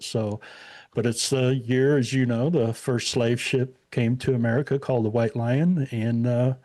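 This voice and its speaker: A man's voice: mid-range voice, darkish tone. Retired guy in his 60s rambling along, lots of uhs, plain middle voice. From Indiana. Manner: easygoing, rambling with many fillers. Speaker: podcast guest. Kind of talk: casual interview chat.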